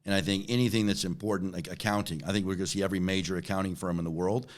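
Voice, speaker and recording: A man's voice: low-pitched, fairly even intonation, rapid-fire. A man in his forties answering interview questions conversationally, repeating words and often checking in with a "right?". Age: 40s. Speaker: interview guest, company chief executive.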